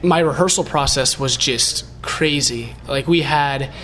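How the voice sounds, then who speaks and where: A man from San Diego, a stage actor, talking in a mellow, casual way with little laughs. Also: mid-range voice, measured pace, slight background noise. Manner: mellow, laughs between phrases. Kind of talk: casual interview chat.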